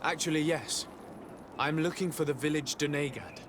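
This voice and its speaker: A man's voice: a little high, fairly even intonation, normal speed, background noise, close to an everyday voice. An actor playing a polite, earnest male adventurer in a video game. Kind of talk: video game cutscene acting.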